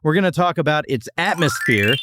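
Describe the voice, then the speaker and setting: A man's voice: fairly high pitch, animated, a little fast, background noise. A man hosting a casual comedic review podcast, talking in a wry, teasing way. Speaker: podcast host.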